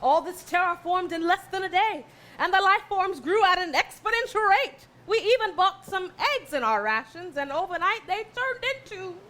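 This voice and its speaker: A woman's voice: extremely high pitch, expressive, normal speed, fairly bright tone. A woman acting a wry, earnest science-fiction heroine in a parody stage musical. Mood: wry, explanatory. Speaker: musical theatre performer in a staged reading.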